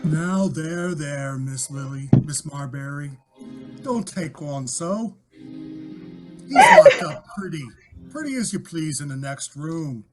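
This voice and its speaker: An actor playing a brisk, confident, reassuring police inspector in an old time radio drama. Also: male voice, fairly high pitch, wildly swooping pitch, loud music behind the voice, heavily acted. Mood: soothing.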